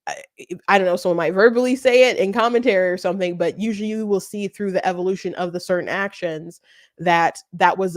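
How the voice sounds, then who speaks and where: A woman hosting a commentary show, talking off the cuff in a casual, halting, rambling way. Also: lowish voice, no room sound, studio quality. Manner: halting, rambling, often breaks into laughter.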